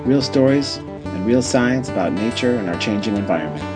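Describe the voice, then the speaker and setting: A man reading a scripted introduction for a radio show in a smooth announcer manner. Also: on the low side, expressive, moderate pace. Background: guitar music.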